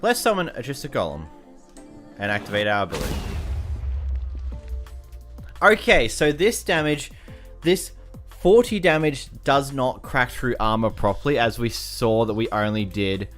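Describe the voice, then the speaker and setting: A man's voice: medium pitch, expressive, a little slow, pauses often, a bit bright, soft background music. A young Australian man casually commenting on a video game, chatty and self-mocking, tripping over words as he reads. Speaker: gaming YouTuber.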